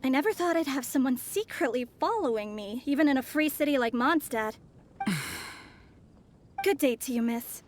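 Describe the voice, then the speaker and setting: An actress playing a poised, mysterious foreign woman traveler in a video game, soft-spoken and courteous. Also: high-pitched, expressive, moderate pace, long pauses, a little hiss or hum. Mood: wary.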